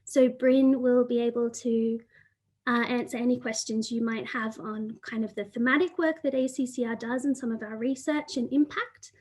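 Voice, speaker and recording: A woman's voice: on the high side, steady tone, normal speed, darkish tone. A woman hosting a webinar, introducing the speaker and running through housekeeping in a friendly, organised way. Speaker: webinar host.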